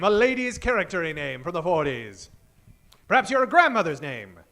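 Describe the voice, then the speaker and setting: A man with a very high, animated voice plays emcee in 1940s radio style. He projects like a showman from a theater stage, improvising his patter as host of a live comedy show. The tone is bright and forceful.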